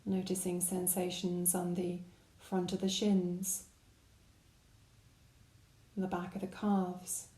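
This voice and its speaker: A woman guiding a body scan meditation with gentle, calm, unhurried instruction. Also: lowish voice, echoey room. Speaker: meditation guide.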